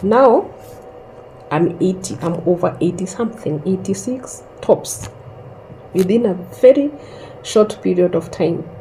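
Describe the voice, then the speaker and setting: A woman in her thirties slowly telling a personal story in a calm, reflective way, pausing often. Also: on the low side, a little hiss or hum, poor audio. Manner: slow and reflective, with long pauses. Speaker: host sharing a personal story.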